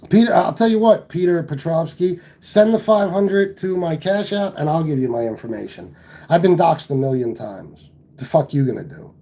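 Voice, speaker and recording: A man's voice: on the high side, normal speed, creaky, warm tone. A man hosting a live stream and answering chat comments in a combative, profane, sarcastic way, from home. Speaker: livestream host reading chat.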